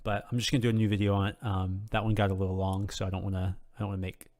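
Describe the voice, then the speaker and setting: A man with a slightly hoarse voice giving an unscripted, rambling game tutorial, full of ums and restarts in a relaxed, casual way. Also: lowish voice, rapid-fire. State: slightly hoarse, losing his voice. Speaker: game guide video creator.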